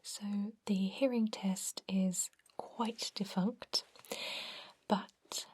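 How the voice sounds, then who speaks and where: Whispering female vampire doctor, acted by a woman, gentle and slow. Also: mid-range voice, fairly even intonation. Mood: gentle, explanatory. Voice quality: breathy whisper. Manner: soothing, unhurried, attentive bedside explanations. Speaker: doctor examining a patient. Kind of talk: ASMR roleplay, whispered.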